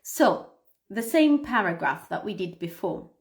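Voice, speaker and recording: A woman hosting a live English pronunciation lesson, explaining things to viewers in an instructive, expressive way with emphasis and frequent checks for understanding. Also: medium pitch, measured pace. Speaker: English pronunciation teacher, livestream host. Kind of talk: live lesson talking to viewers, demonstrating read-aloud.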